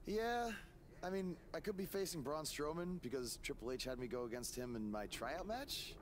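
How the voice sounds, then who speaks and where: An actor playing a cocky, bro-ish young indie wrestler in a video game. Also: male voice, on the high side, animated, moderate pace, natural voice. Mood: uneasy. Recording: noisy, commentary over game audio.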